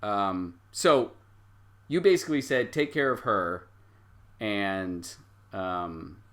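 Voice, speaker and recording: A man's voice: fairly low pitch, takes it slowly. A man working as game master, improvising narration for a tabletop game and slipping into the characters' lines. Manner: acts out characters' lines in quotes while narrating.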